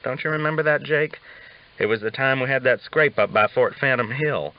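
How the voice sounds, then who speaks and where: A man's voice: mid-range voice, moderate pace, no echo, highs cut off, pitched above the performer's own voice, lightly acted. A man voicing a talkative, teasing old Texas cowboy with folksy, drawling talk. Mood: teasing, animated.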